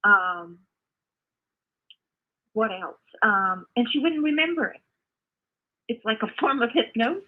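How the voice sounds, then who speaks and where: An older woman calling in by phone to give her opinion, halting and earnest, often repeating herself. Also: fairly high pitch, expressive. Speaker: caller, mother of the host. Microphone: phone call. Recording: muffled, narrow phone call audio.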